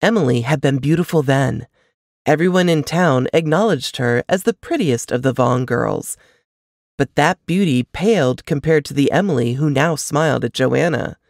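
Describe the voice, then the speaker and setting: A woman reading a novel aloud as scripted narration, with expressive, measured storytelling delivery. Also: low-pitched, no room sound, studio quality. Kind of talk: scripted audiobook-style fiction narration.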